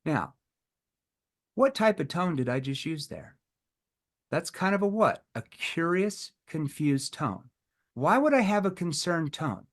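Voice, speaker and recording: Middle-aged male sales trainer, animated, role-plays customer lines live. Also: a little high. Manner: animated, acts out prospect dialogue with rising tone and pauses.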